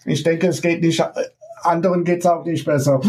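A middle-aged man from the United States with an American accent, a ballet teacher talking as an interview guest in halting German full of pauses and small laughs. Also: a little high, fairly even intonation, a little mellow. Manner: halting German with many ums and frequent laughs. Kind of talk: casual interview over remote connection.

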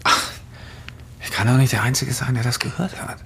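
A man's voice: medium pitch, fairly even intonation, fairly quick, creaky, a bit bright, a little hiss or hum. An uneasy, hesitant man. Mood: alarmed, irritated. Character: uneasy man on a weekend trip with friends. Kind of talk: naturalistic audio drama acting.

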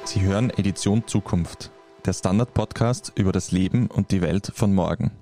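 A man hosts a podcast in a low, mellow voice, delivering a scripted introduction and questions at a measured pace. Faint music sits quietly underneath. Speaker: podcast host.

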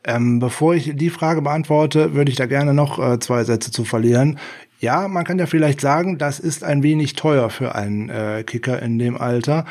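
A middle-aged man co-hosting a sports podcast, explaining his view at a measured pace with frequent "äh" fillers. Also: mid-range voice, darkish tone. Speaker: podcast co-host. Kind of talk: casual sports podcast discussion.

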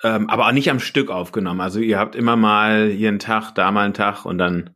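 A man from Berlin interviewing a musician in a casual, lively and curious chat. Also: lowish voice, fast talker.

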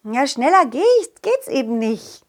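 A woman's voice: sits high, animated, a little slow, pitched below the performer's own voice. A woman voicing Kollin, a confident, loyal talking dog, narrating a children's audio play in a simple, child-directed way. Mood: eager, encouraging. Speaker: storyteller and main character of a children's audio story.

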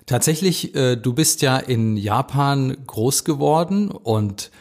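A man's voice: mid-range voice, animated, slow pace, darkish tone. A man interviewing a guest, asking friendly, fluent questions with a few fillers. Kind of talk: casual interview.

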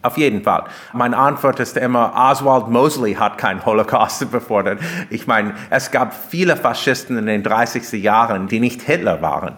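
Man with an American accent, medium pitch. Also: moderate pace, few pauses, fairly bright tone. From United States. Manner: non-native German with small grammar slips. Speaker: interview guest, philosopher and author. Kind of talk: explanatory interview answers.

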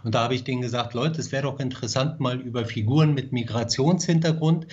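A man's voice: mid-range voice, fast talker, darkish tone, slightly rough. A male screenwriter answering interview questions hesitantly, with frequent fillers. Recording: dull lo-fi sound.

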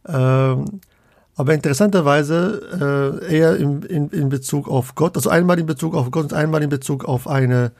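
A man's voice: a little high, moderate pace, darkish tone, no echo, clean studio sound. A man from Morocco, a guest scholar of Islamic theology in a podcast interview, speaking hesitantly with many fillers and restarts.

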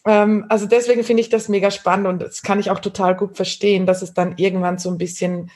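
A woman hosts a podcast in a conversational way. Her voice sits at a medium pitch for a woman. She talks as a coach and author would to guests and listeners. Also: little pitch movement, quick pace, a little mellow.

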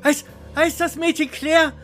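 A man's voice: very high-pitched, flat delivery, a little slow, a bit bright, soft background music, voice pushed up. A man playing a timid, boring accountant in an audio drama, stammering, pleading and crying out in helpless panic. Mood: pleading, terrified.

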